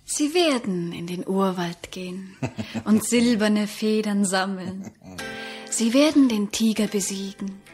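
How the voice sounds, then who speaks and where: A woman's voice: medium pitch, takes it slowly, darkish tone, soft background music, close to an everyday voice. An actress playing a languid, playful woman companion in a radio play. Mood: gleeful, dreamy. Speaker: radio drama actor. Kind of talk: German radio play acting.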